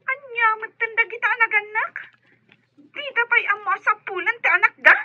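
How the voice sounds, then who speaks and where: Shrill, squeaky scolding caller. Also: female voice, normal speed, sharp tone, no room sound, highs cut off, exaggerated voice. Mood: irritated, scornful. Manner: scornful, scolding. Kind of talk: Ilocano audio drama acting.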